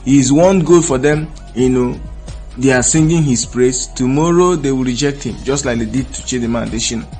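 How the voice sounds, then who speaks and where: A man with a Nigerian accent delivers forceful news commentary, pressing and repeating his points. His voice sits at an ordinary pitch, and soft music plays faintly behind him. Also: fairly quick. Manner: emphatic, insistent, repeats points.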